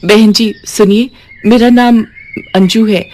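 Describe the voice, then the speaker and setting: A woman's voice: fairly high pitch, fairly even intonation, takes it slowly, a little mellow, pitched above the performer's own voice. A woman voicing a humble, anxious young widowed mother in a moral story. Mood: pleading.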